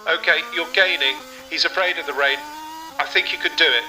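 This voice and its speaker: Casual: a race engineer on team radio, calm and matter-of-fact, a bit higher voice, loud music behind. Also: male voice, brisk, rough recording. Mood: steady. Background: dramatic music.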